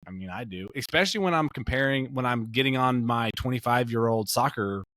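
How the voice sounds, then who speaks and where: A man's voice: mid-range voice, expressive, fairly quick, fairly bright tone, dry recording. A man in his late thirties co-hosting a casual podcast chat, rambling with many fillers and restarts. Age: 30s. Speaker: podcast co-host.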